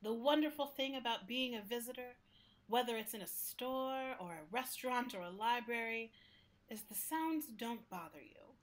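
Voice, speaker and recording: A woman playing a talking library building in a radio play, gentle but fussy, with a confiding, exasperated manner. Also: fairly high pitch, normal speed, close to an everyday voice. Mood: serene, candid. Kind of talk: radio play acting in interview form.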